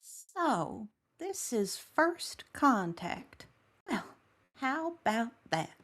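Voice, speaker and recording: Slow-paced, lilting, plain-spoken woman acted by a woman. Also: fairly high pitch, very animated, a bit bright, full character voice. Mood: friendly, delighted. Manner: chatty, encouraging. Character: friendly, plain-spoken woman answering a phone call. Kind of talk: table read of a science fiction story.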